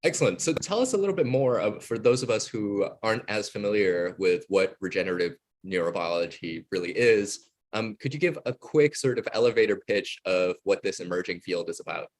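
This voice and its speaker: A man's voice: fairly low pitch, lively intonation, a little fast. A man hosting a student spotlight interview, giving introductions and asking polite, open questions in a conversational way. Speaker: interview host. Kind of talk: polite scripted-then-casual interviewing.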